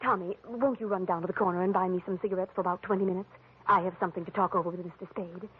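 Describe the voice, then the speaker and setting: A woman's voice: on the low side, fairly even intonation, very fast, slight background noise, lightly acted. An actress playing an evasive, pleading married woman with dramatic delivery in a 1940s radio drama. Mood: guarded. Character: pleading, evasive, melodramatic wife of a rich man. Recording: muffled, phone-like old radio recording.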